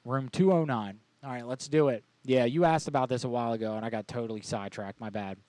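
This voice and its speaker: A man's voice: mid-range voice, fast, warm tone, no echo. A man casually bantering as host of a live prank call show, with crude, slangy joking.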